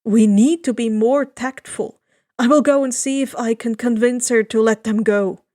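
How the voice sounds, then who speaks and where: A woman voicing a decisive, tactful male sea captain with a firm, measured manner in a fan fiction reading. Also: on the high side, fairly even intonation, dark tone, no echo, studio quality, close to an everyday voice.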